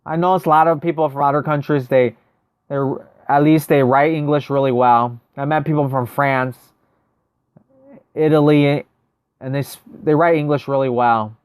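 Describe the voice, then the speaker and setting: A man's voice: a little high, steady tone, moderate pace, clear, resonant tone, no echo, studio quality. A man, chatting casually as a livestream host, thinking aloud and answering viewers. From United States. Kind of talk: casual livestream gameplay chat.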